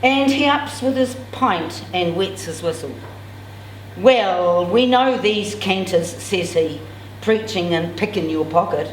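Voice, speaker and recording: A woman's voice: fairly low pitch, expressive, slow pace. A woman in her sixties reading a novel aloud expressively, with a theatrical, declamatory touch to the dialogue. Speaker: amateur reader at a literary event. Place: indoors, in a function room. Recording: roomy, distant mic, clear background noise.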